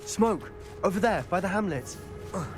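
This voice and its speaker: A defiant, brooding teenage wizard in a fantasy game. He is intense and protective, with a slightly high voice. Light music sits underneath. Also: animated, moderate pace. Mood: worried. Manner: intense, headstrong. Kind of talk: dramatic video game acting.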